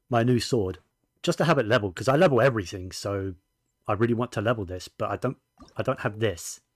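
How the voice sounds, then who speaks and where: A man's voice: on the low side, no room sound. A man giving casual, rambling gaming commentary, often correcting himself as he goes. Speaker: game streamer.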